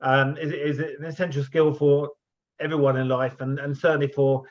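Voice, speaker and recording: A man's voice: a little high, little pitch movement, normal speed. Hesitant man, lo-fi sound. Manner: hesitant, fumbling, many repeats. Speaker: interview host. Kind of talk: casual interview. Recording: dull lo-fi sound.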